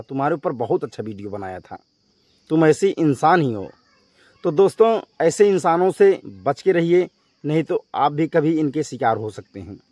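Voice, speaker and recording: A male vlogger with a fairly high voice delivers a confrontational rant straight to his viewers. He scolds with emphasis and repeats his phrases. The sound is dry, with very little room on it. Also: darkish tone, dry recording. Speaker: YouTube vlogger addressing viewers.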